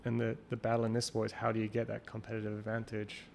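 A man's voice: on the low side, completely flat delivery, fast talker, creaky. A man being interviewed on a call, talking in a rambling way that thinks aloud and restarts often. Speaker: guest, champion water skier. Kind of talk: casual interview conversation. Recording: remote call recording with faint noise.

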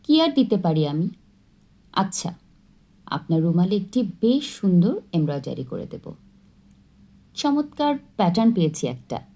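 A woman's voice: fairly low pitch, very animated, measured pace, pauses often, dark tone, heavily acted. A woman voicing a shy, playful young woman being courted, coy and polite, in an audiobook story.

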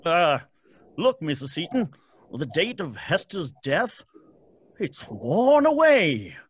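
A man's voice: a little high, wildly swooping pitch, slow, husky, full character voice. A man playing a folksy, uneasy graveyard caretaker with a hesitant, rustic manner in an old-time radio drama. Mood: nervous, alarmed.

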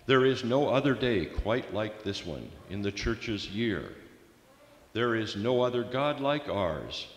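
Older man announcing in an echoey church hall. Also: medium pitch. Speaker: radio-style host of a church service. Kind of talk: old-time radio announcing to a congregation. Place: large church sanctuary, speaking at lectern.

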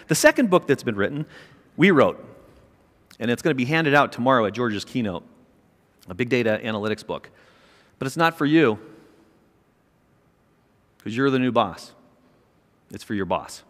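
A middle-aged man giving an energetic, emphatic keynote speech on a large conference stage, with a small mic. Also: mid-range voice. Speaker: keynote speaker, company chairman. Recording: long reverb of a large hall.

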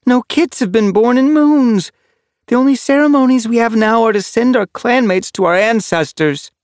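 A man voicing a sad, gentle female warrior cat in a storybook reading, speaking in a sorrowful, pleading way. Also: extremely high pitch, moderate pace, dry recording, studio quality, voice pushed up. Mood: bitter.

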